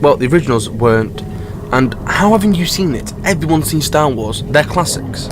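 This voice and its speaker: A man's voice: a little high, expressive, normal speed, close to an everyday voice. A man playing a sarcastic, exasperated young travelling companion in a sci-fi audio drama. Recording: noisy ambience behind the voice.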